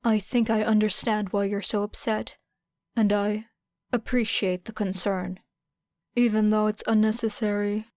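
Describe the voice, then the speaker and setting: A stubborn, composed teenage boy in an audiobook, played by a woman. He is blunt and measured, speaking slowly in a close, dry recording. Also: medium pitch, level tone, a little mellow, dry recording, lightly acted. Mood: calm, firm. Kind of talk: audiobook character voice.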